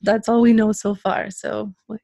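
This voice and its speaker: A woman's voice: medium pitch, flat delivery, normal speed, vocal fry, warm tone. A woman hosting a casual interview, friendly and laughing often as she asks rambling questions. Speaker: interview host.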